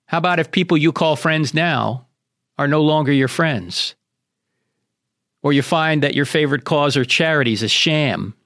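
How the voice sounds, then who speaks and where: Animated, high-pitched American man hosting radio. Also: no echo, clean studio sound. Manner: emphatic, preacherly, addresses listeners directly. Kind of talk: radio monologue with rhetorical questions.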